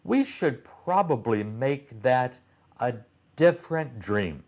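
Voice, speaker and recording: A man voicing a calm, helpful male guide in a children's storybook, patiently explaining things. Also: medium pitch, slow. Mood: friendly, explaining.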